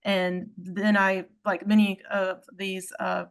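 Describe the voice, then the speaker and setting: A woman's voice: medium pitch, flat delivery, slow, creaky, clear, resonant tone. A woman from South Carolina introducing herself on a video call, eager and rambling with restarts. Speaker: lighting industry professional.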